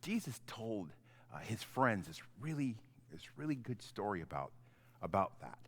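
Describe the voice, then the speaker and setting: A middle-aged man, a pastor, giving an informal, halting children's message in simple, conversational words. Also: lowish voice, very animated. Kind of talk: gentle children's sermon, informal storytelling.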